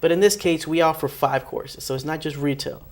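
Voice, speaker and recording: A young man with a slightly high voice answers questions as an interview guest. He grew up in New Jersey and talks agreeably and conversationally, often repeating a phrase for emphasis. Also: brisk. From born in Ecuador, raised in Elizabeth, New Jersey. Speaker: interview guest, public servant at a training center.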